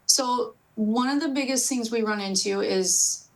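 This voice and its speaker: A woman's voice: fairly high pitch, measured pace, poor audio. An American woman who works as a police dispatcher, explaining things as she answers interview questions.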